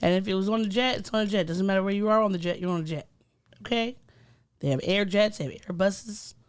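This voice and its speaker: A young woman chats on a podcast with a stuffy, slightly nasal voice from a cold. She teases her co-host playfully and laughs easily, speaking at a mid pitch. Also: fast. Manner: teasing, playful, laughs often. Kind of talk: casual podcast chat.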